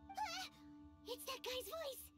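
Squeaky, very high-pitched little fairy. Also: female voice, animated, slow, full character voice. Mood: startled, puzzled. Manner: squeaky, refers to herself by name. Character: tiny childlike fairy companion, female, excitable and kind. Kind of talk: video game voice acting. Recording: music playing behind the voice.

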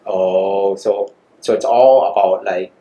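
A man's voice: sits low, fairly even intonation, takes it slowly, mellow, slight background noise. Young male host, attentive, short affirming replies, echoey studio room. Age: young adult. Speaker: podcast host. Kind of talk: podcast interview.

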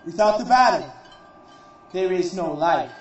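A man performing a theatrical monologue as a proud, defiant warrior, solemn and declamatory, in deliberate phrases. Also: high-pitched, animated, slight background noise. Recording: distant, very echoey, muffled recording.

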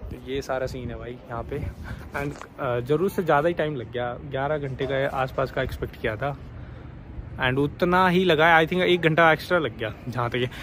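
A man's voice: medium pitch, moderate pace. Young man vlogging on a noisy phone. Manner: chatty, excited, mixes in English words. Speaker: travel vlogger. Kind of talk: casual travel vlog to camera. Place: outdoors on a hillside and in a small hotel room. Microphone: phone held at arm's length.

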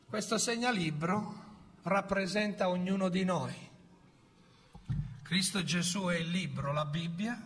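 A man in his fifties preaching a sermon from a church pulpit, swinging from loud exclamations to hushed storytelling. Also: sits high. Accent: Italian. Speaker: pastor. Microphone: pulpit microphone on a stand.

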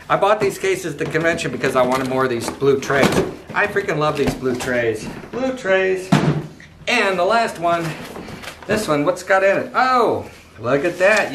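A middle-aged man in a casual home vlog, chatty and enthusiastic as he unpacks, with salesy asides, recorded in a living room by a camera at some distance. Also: fairly high pitch. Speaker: toy car collector and dealer. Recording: echoey living room, faint background noise.

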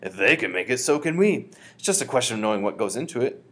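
Man as a feisty, husky old grandmother. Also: mid-range voice, sing-song, fast, fairly bright tone. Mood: commanding. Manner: emphatic, commanding, exclamatory. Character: feisty, bold old grandmother plotting against witches.

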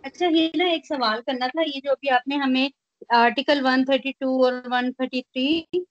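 A woman's voice: high voice, steady tone, normal speed, no echo. A woman, a student casually putting questions to her teacher. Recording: dull lo-fi online call.

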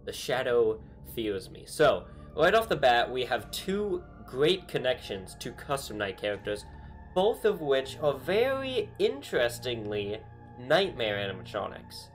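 A man's voice: mid-range voice, big pitch swings, measured pace, faint music behind. A young man chatting casually from his bedroom, thinking aloud with lots of fillers. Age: young adult. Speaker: YouTuber analyzing game dialogue. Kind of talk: casual commentary. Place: small bedroom with string lights.

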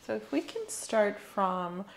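A young woman playing a calm, methodical medical examiner in a soft-spoken ASMR roleplay, quietly reassuring and procedural. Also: mid-range voice, slow, vocal fry, slight background noise, exaggerated voice. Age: young adult. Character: calm, methodical female eye-exam clinician. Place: small indoor room set up as an exam room.

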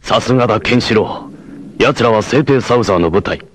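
A man's voice: on the low side, fairly quick, background noise, close to an everyday voice. A man voicing a noble, self-sacrificing blind warrior leader in a 1980s anime, with a solemn, formal, declamatory delivery. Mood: earnest, grave. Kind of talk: 1980s anime martial-arts voice acting.

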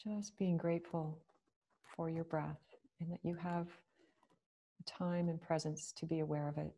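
A woman leading a guided meditation, speaking slowly and gently with long pauses. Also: low-pitched, steady tone.

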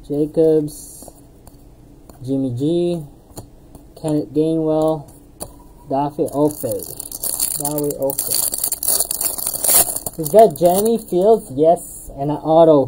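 A woman's voice: low voice, warm tone, slight background noise, low-quality recording. A woman hosting a live card break, calling out player names as she opens packs, laughing at herself and losing her voice. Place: indoor streaming desk with card boxes.

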